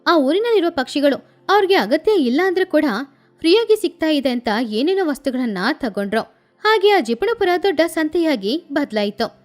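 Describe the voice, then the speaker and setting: A woman narrating a scripted moral story for children as the storyteller. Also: sits high. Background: background music.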